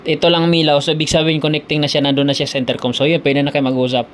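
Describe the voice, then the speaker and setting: A man giving a casual, unscripted product tutorial, explaining haltingly with many repeats and fillers. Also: on the high side, little pitch movement, a little hiss or hum. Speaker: tutorial video host.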